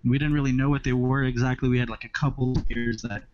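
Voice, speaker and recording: A man's voice: mid-range voice, fairly even intonation, quick pace, a little mellow, slight background noise. A man of twenty from Southern California, a podcast guest chatting casually and rambling with restarts. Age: 20s. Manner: rambling, restarts phrases, easygoing. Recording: dry, muffled lo-fi audio.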